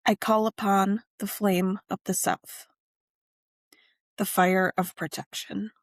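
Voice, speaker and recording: A woman narrating a scripted guided meditation and ritual in measured, deliberate phrases with frequent pauses. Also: medium pitch, level tone, no room sound.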